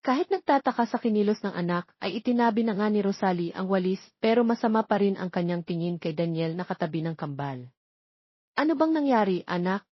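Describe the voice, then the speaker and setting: A woman's voice: mid-range voice, fast talker, husky, dry recording. Filipino woman narrating, dry muffled sound. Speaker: audiobook narrator. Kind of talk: scripted romance story narration. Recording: slightly dull, lo-fi recording.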